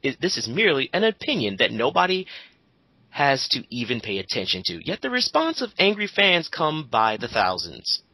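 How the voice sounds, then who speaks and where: A woman's voice: extremely low pitch, sing-song, normal speed, bright, forceful tone, dry recording, low-quality recording. A woman giving casual, opinionated commentary in an emphatic, sarcastic way, with some swearing. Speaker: co-host of an online dramatic reading.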